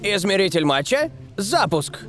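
A man's voice: high-pitched, sing-song, a little slow, over music. A man voicing a fussy, theatrical old scientist in a cartoon. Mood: tender. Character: eccentric old male scientist, doting on machine. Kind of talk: dubbed cartoon dialogue.

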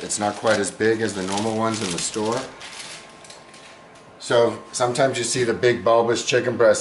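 Low-voiced man teaching home cooking. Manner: relaxed, explanatory, chatty with small asides. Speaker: home cooking show host. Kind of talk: casual cooking demonstration. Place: home kitchen. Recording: clear background kitchen noise. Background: clattering utensils and dishes.

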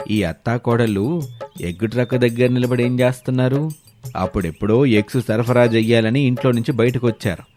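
A man voicing an older, teasing father-in-law who questions and chides in an animated moral story. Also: medium pitch, natural voice. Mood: puzzled.

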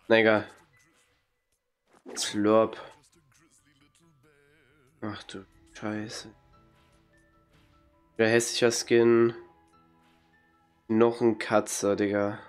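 A young man with a cold, giving casual, blunt, slangy commentary on a video game as a streamer, talking into a headset in a small room at home. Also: on the low side. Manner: blunt, slangy, mocking reactions. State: has a cold, occasional coughing. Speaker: gaming streamer.

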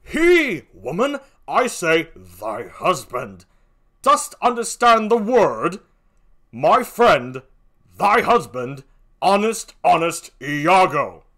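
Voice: mid-range voice, very animated, takes it slowly, fairly bright tone, pitched above the performer's own voice, full character voice. A performer voicing a jealous, commanding and anguished husband in a declamatory, theatrical Shakespearean stage reading. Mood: exasperated, thundering. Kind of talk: classical Shakespearean stage acting.

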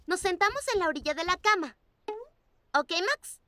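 Cartoon bunny big sister: high, animated, girlish, patiently giving instructions. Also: moderate pace, no echo, heavily acted. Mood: insistent. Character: bossy, sweet young bunny girl, big sister. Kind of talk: children's cartoon dub. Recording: music bed behind the voice. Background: cartoon background music.

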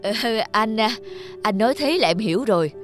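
A woman's voice: mid-range voice, expressive, fairly quick, over music, natural voice. A woman voicing an ingratiating male factory employee in a cartoon, deferential and hesitant toward superiors. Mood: eager, fawning. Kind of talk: cartoon voice acting.

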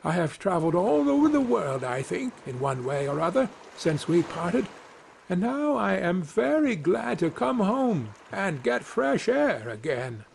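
A tiny, boastful storybook boy, acted out by a man with a high, very lively voice. He calls out boldly and cheekily, full of merry pluck. There is clear noise on the recording. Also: measured pace, exaggerated voice. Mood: proud. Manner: calling out, merry and bold. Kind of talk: storybook character voice.

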